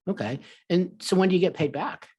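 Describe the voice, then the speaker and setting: A man's voice: sits high, a little fast, a little mellow, slightly rough, muffled recording. Easygoing male podcast co-host. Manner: short, easygoing questions. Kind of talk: casual podcast chat.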